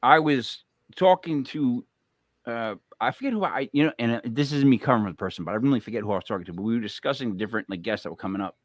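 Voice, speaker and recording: A man's voice: medium pitch, quick pace, dry recording. A man hosting a podcast, chatting casually and telling rambling stories with frequent laughs. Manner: laughing, rambling, animated storyteller. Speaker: podcast host. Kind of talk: casual chat.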